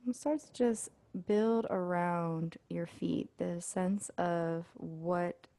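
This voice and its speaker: A woman leading a guided body scan meditation as an instructor, speaking in a soothing, unhurried way with pauses. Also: on the low side, steady tone, warm tone, no room sound.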